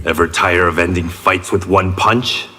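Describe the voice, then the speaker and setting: A man's voice: low voice, steady tone, moderate pace, pushed and bright. A man playing a cocky, wry swordsman in a fighting game, with long reverb on his voice. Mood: wry, confident. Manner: wry, self-assured. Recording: echoey reverb, faint noise.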